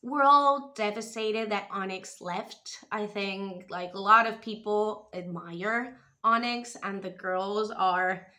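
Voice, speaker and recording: Bright, lively young woman vlogger. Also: medium pitch, slow pace. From Spain. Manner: animated, expressive, gestures while talking. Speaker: vlogger reviewing a TV show. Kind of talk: casual chatty commentary to camera. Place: small room at home, indoors. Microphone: webcam or camera close in front.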